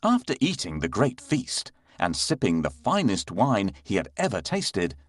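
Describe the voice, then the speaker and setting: A man narrating a children's bedtime story in an expressive storytelling manner. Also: lowish voice, unhurried, no room sound, studio quality.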